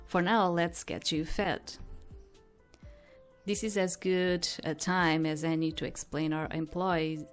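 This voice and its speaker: Considerate restaurant manager, read by a woman, visual novel, measured pace, formal and instructive. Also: lowish voice, steady tone, faint music behind. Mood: kind, formal. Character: polite, considerate male restaurant boss training a newcomer.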